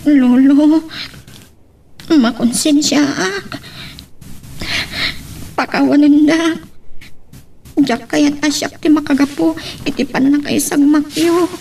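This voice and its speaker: Young grandchild, remorseful, emotional, drawn-out slow speech, high-pitched, radio drama, distant noisy recording. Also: female voice, vocal fry, warm tone, heavily acted. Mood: tearful remorse. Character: tearful, remorseful young grandchild of an old man. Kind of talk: radio drama acting in Ilocano.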